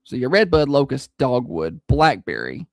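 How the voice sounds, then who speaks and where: A man with a high-pitched Southern accent, hosting a morning radio show in casual, self-deprecating chat. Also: huge pitch swings, normal speed, no room sound, clean studio sound. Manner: chatty, self-deprecating, laughs easily. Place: radio studio.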